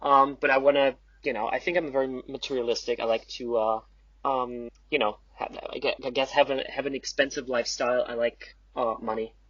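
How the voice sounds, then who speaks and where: A woman with a husky voice, chatting casually as a podcast guest in a wry, offhand way. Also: rumbling low, steady tone, brisk, no room sound, muffled recording. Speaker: audio play cast member, podcast guest. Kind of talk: casual interview chat.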